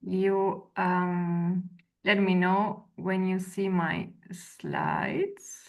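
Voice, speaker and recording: A woman in her thirties with an Italian accent, a researcher and lecturer giving a webinar presentation over a video call with clear explanations and brief hesitations. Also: lowish voice, lively intonation, drawn-out words. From Italy. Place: indoor room at home or office. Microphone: video call, laptop mic.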